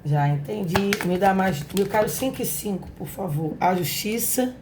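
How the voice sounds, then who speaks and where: A Brazilian woman with a hoarse voice, giving a casual tarot reading and talking affectionately and directly to her viewers. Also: mid-range voice, expressive, normal speed, background noise. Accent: Brazilian Portuguese. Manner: affectionate, chatty, talks straight to viewers. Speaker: tarot reader, channel host. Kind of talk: casual spiritual reading to camera.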